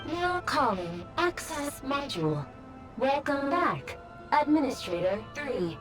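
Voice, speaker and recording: A woman's voice: sits high, big pitch swings, slow pace, exaggerated voice. A woman voicing a formal facility computer in a video game, giving clipped system announcements. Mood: formal, informative. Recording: loud game music behind voice.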